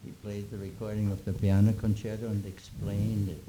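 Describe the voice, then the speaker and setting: A man in his nineties, born in Austria with German as his first language, telling old stories in a halting, chuckling way with many restarts. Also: fairly low pitch, little pitch movement, mellow, slight background noise, low-quality recording. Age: 90s. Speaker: interviewee. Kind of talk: reminiscing Q&A.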